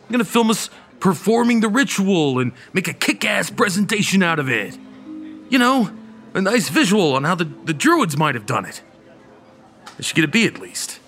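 Excitable male college slacker, lively swooping delivery, music underneath, audio drama. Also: high voice, normal speed. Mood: wheedling. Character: eager, wheedling male college student and stoner slacker. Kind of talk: audio horror drama acting.

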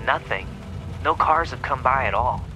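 Hesitant lost camper, noisy background. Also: male voice, medium pitch, expressive, moderate pace, pushed and bright, faint music behind. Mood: uncertain. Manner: hesitant, sheepish. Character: hesitant, apologetic lost male camper on a phone call. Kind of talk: horror audio drama acting.

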